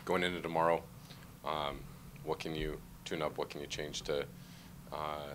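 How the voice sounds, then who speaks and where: Very deep-voiced hesitant reporter. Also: male voice, little pitch movement, normal speed, noisy background. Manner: hesitant questions with fillers. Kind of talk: press conference questions.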